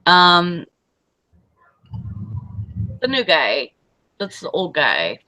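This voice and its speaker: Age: 40s. Sex: woman. Style: slow, bright, giggly hangout chat. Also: on the low side, huge pitch swings, dry recording, poor audio. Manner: chatty, giggly, trails off mid-thought. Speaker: host of an online hangout show. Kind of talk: casual morning chat.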